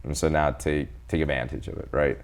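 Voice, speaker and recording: Very deep-voiced man in his forties. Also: a little fast. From El Salvador. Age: 40s. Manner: animated, quotes people in his stories. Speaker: guest doctor. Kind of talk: casual interview storytelling.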